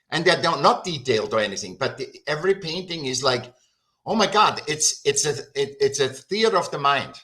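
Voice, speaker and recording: A man's voice: a little high, sharp tone. A middle-aged man speaking as a guest artist in an interview, emphatic and rambling, often restarting his sentences. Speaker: guest artist and creativity educator. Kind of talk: casual interview talk.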